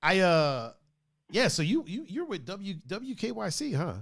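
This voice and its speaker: A man from Brazil hosts a loose, profane, joking chat over a video call. His pitch swings widely and sits a touch high. The sound is close and dry. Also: sing-song, a little slow, gravelly, fairly bright tone, no room sound. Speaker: podcast host. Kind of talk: casual chat.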